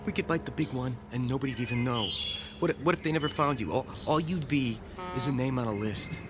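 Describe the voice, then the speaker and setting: An actor playing an anxious, plain-spoken army private in a radio drama, brooding and prone to stammer. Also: male voice, mid-range voice, fast, over loud music, lightly acted. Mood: panicky. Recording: clear outdoor insect ambience.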